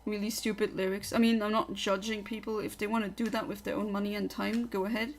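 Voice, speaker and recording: Young woman, livestream chatter, talkative, opinionated, middle pitch. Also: level tone, fast. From Luxembourg. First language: Luxembourgish. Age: young adult. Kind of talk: casual livestream chat.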